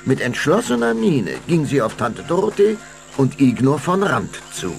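A man's voice: mid-range voice, big pitch swings, moderate pace, vocal fry. A man narrating a German children's vampire audio play as a suspenseful, dramatic storyteller. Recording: music behind the voice.

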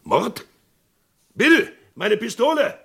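Gravelly, animated Wild West sheriff. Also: male voice, very high voice, slow pace, a little hiss or hum, heavily acted. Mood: alarmed, brisk. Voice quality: gravelly, husky. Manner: blustering, swings from hearty to hushed. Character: boisterous, gruff Wild West small-town sheriff, loyal friend. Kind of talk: German radio crime drama acting.

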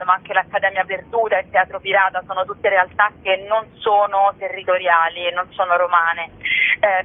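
A woman speaking spontaneously as a festival curator in an interview, sometimes searching for words mid-phrase, over a telephone. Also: mid-range voice. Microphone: telephone call. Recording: narrow, tinny telephone line.

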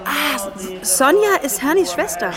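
An actress playing a lively, enthusiastic woman in a radio play. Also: high-pitched, normal speed, crowd noise. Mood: curious.

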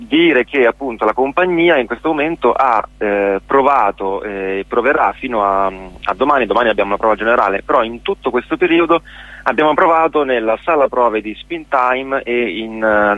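A man being interviewed as an actor on a radio programme. He explains things haltingly, reaching for his words, in an ordinary mid-pitched voice. The sound is very dry and full-band. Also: no room sound, narrow, tinny audio. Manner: hesitant, halting, searching for words.

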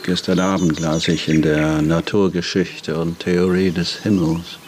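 Very deep, slow English gentleman. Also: flat delivery, a little hiss or hum. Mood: solemn, contemplative. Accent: light English. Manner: measured, reflective. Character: contemplative English gentleman, solemn friend of a philosopher. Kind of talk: German radio drama acting. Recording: faint birdsong and garden ambience. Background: birdsong, insects.

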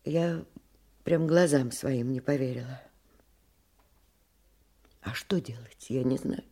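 A middle-aged provincial woman in a radio play, anxious and hesitant. She speaks slowly and haltingly, with a soft, dark timbre and a natural, unshowy delivery. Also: a bass voice, breathy edge, exaggerated voice. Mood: troubled, halting. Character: anxious, grieving provincial woman with a grown son.

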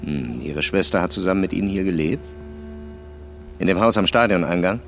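A low, brusque male police commissioner in a radio crime drama. He puts his questions tersely and pointedly, leading a murder inquiry, with music clearly under his voice. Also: a little fast, fairly bright tone. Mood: blunt, insistent. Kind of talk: German radio drama acting.

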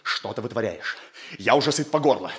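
An actor playing an exasperated, scolding young student in a Soviet radio comedy. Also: male voice, lowish voice, expressive, a little fast, a little hiss or hum, close to an everyday voice.

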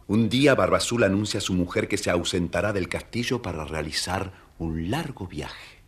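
A deep-voiced man tells a children's fairy tale. His scripted narration is expressive and theatrical. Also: moderate pace. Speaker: storyteller, narrator.

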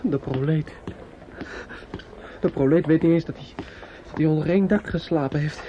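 An actor playing a grieving, bitter and desperate young man in a radio drama, halting and often dropping into whispers. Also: on the high side, close to an everyday voice. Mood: bitter, broken. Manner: halting, repetitive, drops into whispers. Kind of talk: radio drama monologue acting. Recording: dull, noisy old radio recording.

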